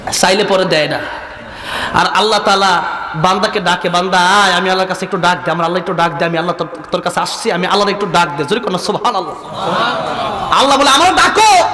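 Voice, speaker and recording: A man with a Bangladeshi accent preaching an impassioned waz sermon, exhorting his listeners and moving between plain talk and drawn-out melodic chanting. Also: high voice, sharp tone, a little hiss or hum. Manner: impassioned, exhorting, calls for responses. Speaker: Islamic preacher. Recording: echoing PA sound with long reverb.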